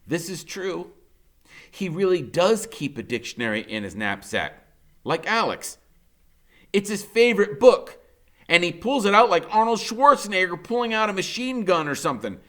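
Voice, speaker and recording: A man reading a children's novel aloud in the first person, like a teacher, expressive and colloquial. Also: high voice, normal speed.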